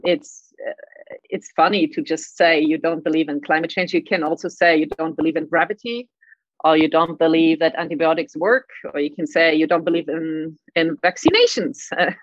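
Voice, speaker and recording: A woman's voice: on the low side, normal speed, no echo, lo-fi sound. A middle-aged woman with a German accent, answering interview questions with frequent stammered repeats and light laughs. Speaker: guest, climate scientist.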